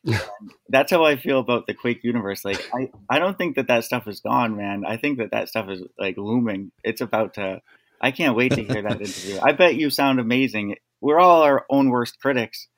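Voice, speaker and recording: A man's voice: medium pitch, normal speed. A man appearing as a podcast guest, talking casually, rambling enthusiastically and leaning on "like" a lot. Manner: rambling, heavy use of "like", enthusiastic. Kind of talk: casual conversation.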